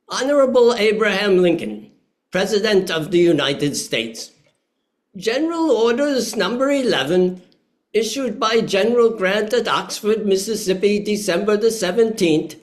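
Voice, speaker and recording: A performer playing an indignant, loyal Union merchant in a historical play reading, speaking in a formal, declamatory way. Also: medium pitch. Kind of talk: play table reading, period speech.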